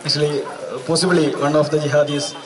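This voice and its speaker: High-pitched young Indian-accented man at a rally. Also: fairly even intonation, a little fast. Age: 20s. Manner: emphatic, repeats phrases. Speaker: student activist speaker. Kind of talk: impassioned political speech at a rally. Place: outdoor night rally stage. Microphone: handheld microphone through PA. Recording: distant PA sound with crowd around.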